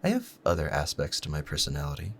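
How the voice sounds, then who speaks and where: A man playing a calm, proud assassin in love, both menacing and tender, talking to the listener in a measured, formal, confiding way in an audio roleplay. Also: rumbling low, slight background noise, full character voice. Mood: tender, regretful. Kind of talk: scripted romantic ASMR audio roleplay.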